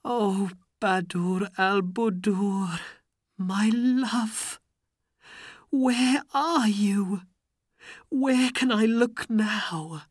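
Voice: medium pitch, huge pitch swings, creaky, a bit bright, slightly rough, no room sound, studio quality, pitched above the performer's own voice, exaggerated voice. A narrator voicing an earnest, lovesick young male hero, pleading and desperate, in a storybook. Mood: grieving. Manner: pleading, drawn-out.

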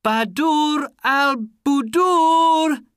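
An earnest, lovesick young man from a storybook adventure, acted out by a narrator. His voice rides high and swings widely, pleading with drawn-out, desperate words. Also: huge pitch swings, creaky, a bit bright, husky, no room sound, studio quality, exaggerated voice. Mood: calling out.

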